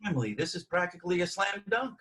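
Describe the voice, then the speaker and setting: A man's voice: sits high, very animated, quick pace, bright, forceful tone, heavily acted. An actor playing a confident, breezy male studio colleague in a screenplay table read.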